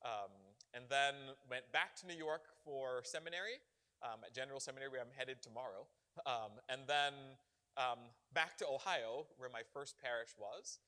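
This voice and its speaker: A middle-aged man from Barbados, a bishop answering questions at a parish gathering with animated, preacherly emphasis and frequent laughter. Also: mid-range voice, moderate pace. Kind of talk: parish Q&A talk.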